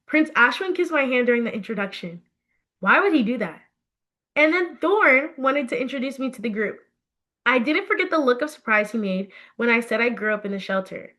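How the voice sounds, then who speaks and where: A woman plays a chatty, self-doubting teenage girl in a storybook. Her voice is bright and fairly high, and she confides in her diary with expressive phrasing. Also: fairly quick. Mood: confiding, unsure. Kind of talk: first-person story reading.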